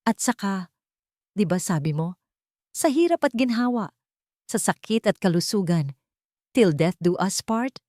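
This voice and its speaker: A woman voicing a betrayed wife in an audio drama, measured and quiet, feigning meekness over calm defiance. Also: mid-range voice, no room sound, studio quality, close to an everyday voice.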